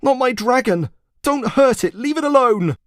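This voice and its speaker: A man's voice: very high voice, expressive, normal speed, fairly bright tone, dry recording, clean studio sound, pitched above the performer's own voice. A man voicing a small, defiant boy who is fiercely protective, in a storybook reading. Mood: desperate, pleading.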